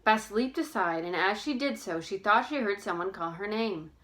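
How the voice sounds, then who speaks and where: A woman reading a storybook aloud as narrator, with expressive, careful, dramatic delivery. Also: mid-range voice, moderate pace.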